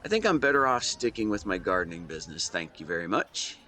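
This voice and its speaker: A man's voice: low-pitched, very animated, fast talker, sharp tone, exaggerated voice. A man in his twenties playing a devoted, protective and secretly lovesick best friend in a conversational audio roleplay, earnest and playful with some hesitation. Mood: relaxed, chatty. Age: 20s. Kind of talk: intimate one-sided roleplay acting, conversational.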